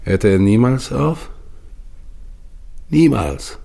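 An actor playing a dying, grieving expedition leader in a German radio play, speaking solemnly with repeated phrases in a gravelly, husky voice. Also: male voice, mid-range voice, sing-song, extremely slow, dark tone, full character voice. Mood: agitated, haunted. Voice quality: gravelly, husky, at times whispered. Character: dying, grieving male polar expedition leader recounting his doomed march.

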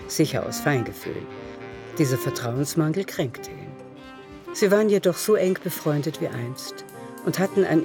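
A woman's voice: low-pitched, dark tone. A woman narrating a literary radio drama as a storyteller.

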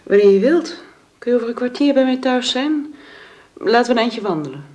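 An actress playing a young woman torn between love and faith, speaking softly and hesitantly. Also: fairly high pitch, lively intonation, fairly quick, no echo. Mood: firm. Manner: soft, hesitant.

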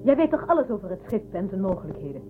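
Actress as a urgent, animated space warlord's advisor. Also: female voice, medium pitch, very fast, narrow, tinny audio, natural voice. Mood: probing. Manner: insistent, persuasive. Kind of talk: science-fiction radio play acting. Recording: thin band-limited audio with music behind.

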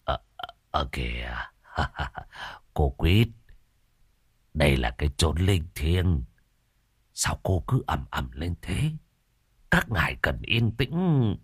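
A man's voice: very deep voice, big pitch swings, slow pace, pauses often, slightly rough, no echo, studio quality, voice pushed down, exaggerated voice. A man voicing a timid, grumbling old village man who fusses and whines. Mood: nervous. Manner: whining, fussing.

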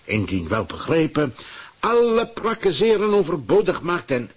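An actor playing a pompous, power-hungry government ruler in a radio play, declaiming with a husky voice. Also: male voice, on the high side, big pitch swings, moderate pace. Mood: grandiose.

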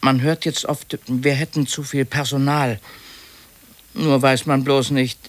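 A man's voice: mid-range voice, flat delivery, unhurried, lightly acted. A man playing a wary, inquisitive office worker in a naturalistic radio drama, politely probing and a little hesitant.

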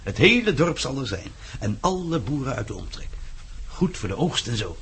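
A fanatical village cultist in a radio drama, mocking and smug. His medium-pitched voice swings in lively tones as he issues polite threats, over faint noise. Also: normal speed. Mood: smug, menacing. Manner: mock-polite, taunting. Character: menacing male village cult zealot, mocking and smug. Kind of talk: radio play acting.

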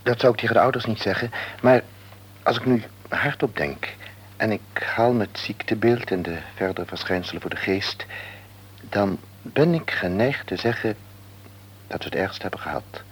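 A man's voice: on the low side, a little hiss or hum, lightly acted. A man playing a cautious, formal doctor. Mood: earnest. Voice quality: measured, formal. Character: cautious, frank male hospital doctor. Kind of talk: radio play acting.

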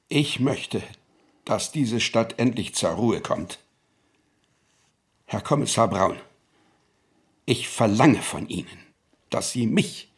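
A senior Prussian official in a radio drama. He talks very slowly at a medium male pitch, weighing his formal words with evasive, weighty authority. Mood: stern, commanding. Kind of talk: German radio drama acting.

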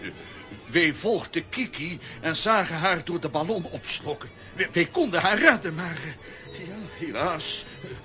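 Halting worried father. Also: fairly high pitch, lively intonation, pushed and bright. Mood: nervous. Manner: halting, polite. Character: hesitant, timid, worried father. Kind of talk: children's radio play acting. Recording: music behind voice.

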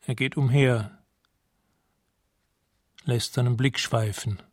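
A man narrating a radio drama in a measured, detached, matter-of-fact way, as if describing scenes. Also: mid-range voice, steady tone, dry recording, studio quality. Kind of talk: scripted present-tense radio drama narration.

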